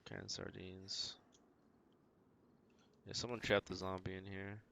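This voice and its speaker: A man giving casual, low-key video game commentary, thinking aloud as he plays. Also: level tone, takes it slowly, gravelly, pushed and bright, slight background noise. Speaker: game streamer.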